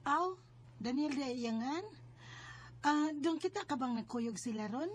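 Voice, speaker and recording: A woman's voice: high voice, animated, measured pace. A woman with a Cebuano Filipino accent playing a protective, scolding married older sister in a radio drama. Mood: searching. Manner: nagging, emphatic. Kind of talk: Bisaya radio drama acting.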